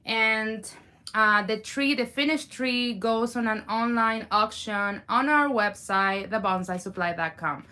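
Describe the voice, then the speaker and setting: A woman's voice: a little high, unhurried, bright, forceful tone. A woman co-owner hosting a live bonsai stream, chatting cheerfully with viewers. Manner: upbeat, chatty, addresses viewers.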